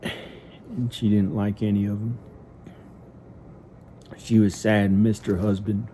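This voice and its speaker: A man improvising a first-person story in a halting, simple, repetitive manner, with hesitant pauses. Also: on the low side, little pitch movement, warm tone. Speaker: amateur storyteller narrating own improvised story. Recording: noticeable background noise. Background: ambient sound effects.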